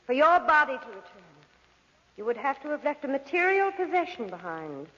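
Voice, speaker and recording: Give this ancient undead spirit woman a high voice with sweeping, dramatic swoops. She is possessive, cold and ominous, commanding in the manner of 1940s radio horror, inside a long echoing reverb. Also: big pitch swings, sharp tone, full character voice. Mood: coldly reasoning. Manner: slow, ominous, commanding delivery with drawn-out emphasis. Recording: muffled old radio recording, long hall reverb.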